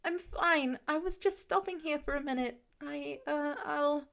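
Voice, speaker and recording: A scared, worn-out teenage boy, voiced by a woman stumbles over every word in a high, breathless stammer. His voice jumps up in pitch as he trips over his words, anxious and exhausted. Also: a little slow, vocal fry, fairly bright tone, heavily acted. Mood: nervous stammering. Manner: stuttering, breathless, panicky.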